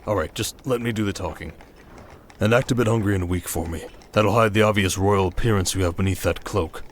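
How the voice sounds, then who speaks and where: Man acting as a proud low-voiced king over noisy ambience. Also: a little fast, natural voice. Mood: low, tender teasing. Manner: commanding, sardonic. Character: proud, protective young warrior king and devoted husband. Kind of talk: dramatic fantasy ASMR roleplay.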